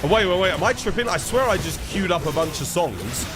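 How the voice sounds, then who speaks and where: A man's voice: sits high, very animated, a little fast, music in the background. A man, stuffed up with a cold, casually chatting and answering viewers while streaming a game. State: congested, stuffed-up nose. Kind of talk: casual live-stream chat.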